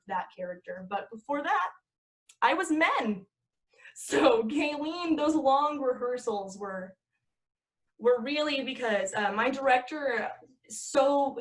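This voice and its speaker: A young woman talks freely about her stage work in a relaxed podcast chat. Her voice sits a little above average in pitch. She rambles, stacks up filler words and laughs easily as she goes. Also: poor audio. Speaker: podcast guest, actress. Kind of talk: casual conversation.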